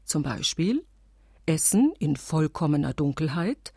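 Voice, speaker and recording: Radio play: formal female instructor, detached, breathy and mellow, close dry sound. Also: deep voice, big pitch swings, unhurried, dry recording, heavily acted. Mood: detached, instructive. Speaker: exercise instructor.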